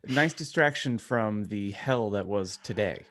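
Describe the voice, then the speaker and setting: A man co-hosting a podcast in casual chat, with halting, loose phrasing. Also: fairly low pitch, fairly bright tone, slight background noise. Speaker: podcast co-host.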